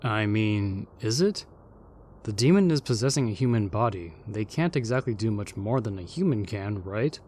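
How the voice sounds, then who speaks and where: A man's voice: on the low side, expressive, normal speed, a little mellow, a little hiss or hum, close to an everyday voice. A man playing a formal, matter-of-fact ghost from an older era, skeptical and level-headed, in an audio roleplay. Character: stuffy, level-headed old-time male ghost. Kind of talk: fan audio roleplay comedy acting.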